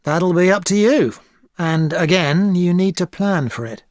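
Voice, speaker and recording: An actress playing an experienced tutor advising a student in a scripted exam dialogue, calm and measured. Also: female voice, lowish voice, no echo. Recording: dry studio recording.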